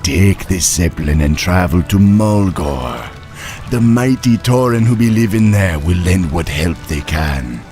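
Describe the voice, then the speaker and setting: An actor voicing a loyal, easygoing troll ally in a video game, encouraging. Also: male voice, sits low, expressive, a little slow, a little mellow, faint music behind. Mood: reassuring. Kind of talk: video game cinematic acting.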